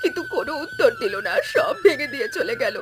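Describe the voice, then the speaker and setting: Cartoon mother: older villager, plaintive, extremely high and wildly swooping, clear music behind. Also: huge pitch swings, fast talker, a bit bright, heavily acted. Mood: distraught. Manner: wailing, plaintive. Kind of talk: acted cartoon story dialogue.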